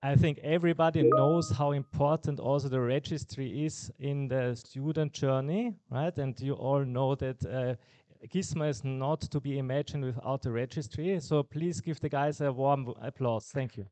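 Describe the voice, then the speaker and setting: A man's voice: mid-range voice, fairly even intonation, moderate pace, mellow, no room sound. A man with an Austrian accent hosting a graduation ceremony in a chatty way, often tagging his sentences with "right?". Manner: chatty, keeps asking "right?". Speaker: master of ceremonies.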